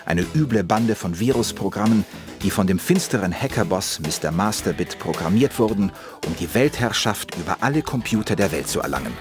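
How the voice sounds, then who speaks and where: A man's voice: fairly low pitch, expressive, brisk. A man reading scripted, dramatic narration introducing a children's radio play. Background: music.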